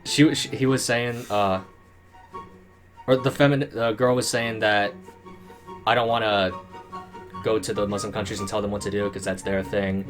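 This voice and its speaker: A man's voice: fairly low pitch, steady tone, moderate pace, long pauses. A young man in casual group chat, explaining the facts with stops, restarts and fillers. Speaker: panel member. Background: music.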